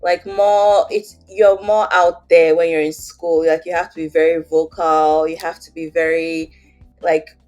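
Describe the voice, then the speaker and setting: A woman's voice: low-pitched, flat delivery, measured pace, a little mellow, soft background music. A young woman with a Nigerian accent, telling her story as an interview guest in a chatty way, with many fillers. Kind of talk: casual interview, personal story.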